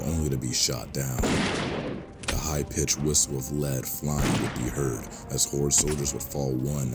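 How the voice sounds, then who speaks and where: A man giving scripted, dramatic narration as the storyteller of an audio drama. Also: a bass voice.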